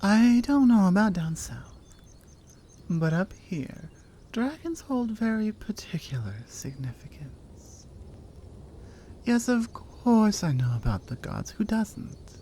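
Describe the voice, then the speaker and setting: A woman's voice: mid-range voice, big pitch swings, dark tone, slight background noise. A woman playing a strong, teasing Nordic warrior in an ASMR roleplay, with a Nordic accent and a dry, playful, skeptical manner. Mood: proud, earnest. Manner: playful, dry, conversational with pauses. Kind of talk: ASMR roleplay audio drama.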